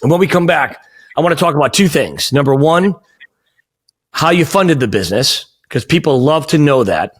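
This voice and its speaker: A man's voice: a little high, lively intonation, moderate pace. Joking American podcast host, man. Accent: New England. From United States. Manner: friendly, joking, self-deprecating. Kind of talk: casual interview chat.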